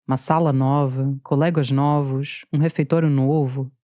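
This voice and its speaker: A woman's voice: a bass voice, flat delivery, moderate pace, dark tone, no echo. A woman narrating a documentary podcast in a scripted, playful way, mixing her lines with interview clips. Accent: Brazilian Portuguese. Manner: dry, playful storytelling.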